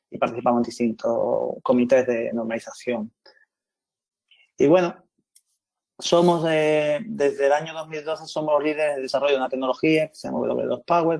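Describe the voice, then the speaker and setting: A man from Spain of middle pitch gives a businesslike online presentation in a dry, close sound. He speaks fluently and restarts a phrase now and then. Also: creaky, a little mellow, dry recording, low-quality recording. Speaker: company representative on a webinar panel.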